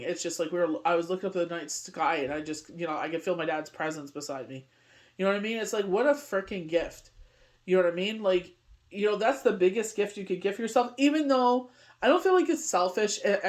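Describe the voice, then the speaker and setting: A woman's voice: lowish voice, fast talker. Middle-aged Canadian woman, chatty tarot reader, rambles and leans on fillers. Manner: rambling, heavy on "you know what I mean". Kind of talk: casual daily tarot reading.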